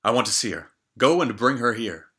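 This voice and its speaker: Guy doing a stern young storybook prince, kinda formal and bossy, bright voice. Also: medium pitch, lively intonation, brisk. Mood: commanding. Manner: formal, commanding, archaic phrasing. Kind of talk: storybook character reading.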